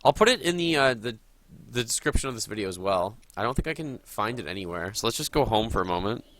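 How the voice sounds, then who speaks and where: A man streams a game live and talks through each move as he plays it. He is sniffly from a cold. His voice is bright and forward, sitting fairly low. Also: lively intonation, fast, slight background noise. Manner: chatty, thinking aloud while playing. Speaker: gaming streamer.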